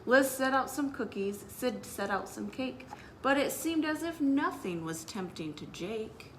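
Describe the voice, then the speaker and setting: A woman's voice: fairly high pitch, animated, measured pace, slight background noise, low-quality recording. A woman speaking as a children's librarian, hosting story time with announcements and read-aloud for young listeners.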